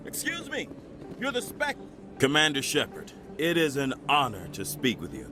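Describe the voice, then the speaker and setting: A man's voice: fairly high pitch, wildly swooping pitch, normal speed, background noise. An actor playing an earnest, pompous male nationalist politician in a video game, speaking in formal, rhetorical, courteous phrases. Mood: stirring, earnest. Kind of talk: video game dramatic voice acting.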